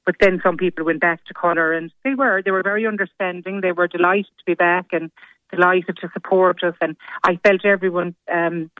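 A woman's voice: lowish voice, steady tone, fairly quick, no room sound. An Irish woman who owns a hair salon, talking as a radio guest, grateful and enthusiastic. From Ireland. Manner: grateful, enthusiastic, keeps saying delighted. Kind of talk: phone interview. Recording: tinny phone line.

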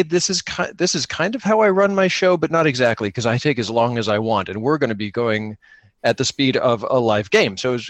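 A man's voice: mid-range voice, no room sound. A man chatting casually as a podcast guest, with a joking, mock-serious manner. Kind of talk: casual chat.

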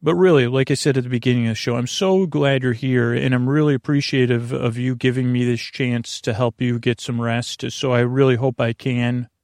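Creaky-voiced man, meandering bedtime podcaster. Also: mid-range voice, steady tone, a little mellow, no echo, studio quality. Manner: meandering, halting, self-interrupting, earnest. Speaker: podcast host. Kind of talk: rambling, soothing bedtime podcast monologue.

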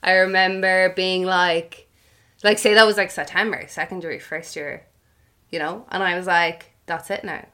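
A woman's voice: lowish voice, steady tone, normal speed, fairly bright tone. Giggly young Irish woman guest. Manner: chatty, laughs often, self-mocking. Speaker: anonymous guest. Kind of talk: casual podcast chat.

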